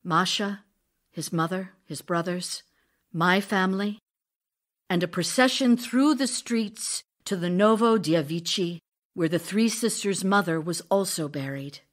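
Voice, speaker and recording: An actress playing a grieving, longing widow in an audio drama, reading intimate letters in a tender, wistful, expressive way. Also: female voice, fairly low pitch, lightly acted. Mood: mournful. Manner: tender, wistful, emotionally expressive, pausing delivery.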